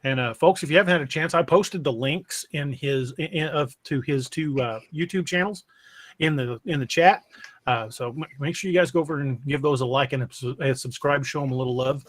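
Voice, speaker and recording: A man's voice: a little high, brisk. A man casually hosting a livestream podcast in a folksy, chummy way. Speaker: podcast host.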